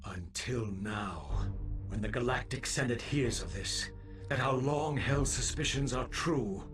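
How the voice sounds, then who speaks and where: A man's voice: mid-range voice, a little slow, over music, close to an everyday voice. A man playing a grandiose, grave alien envoy in a video game, with formal, florid, declamatory delivery. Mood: indignant. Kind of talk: cinematic video game acting.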